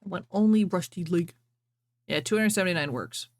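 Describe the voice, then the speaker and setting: A woman's voice: lowish voice, fairly quick, no echo, clean studio sound. A woman livestreamer chatting casually with viewers and reading fan fiction aloud.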